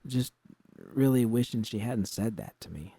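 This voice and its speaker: A man's voice: fairly low pitch, very animated, a little slow, creaky, dark tone, husky, dry recording, full character voice. A man voicing a jaded, sardonic young woman, blunt and sweary, in an audio story. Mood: hurt.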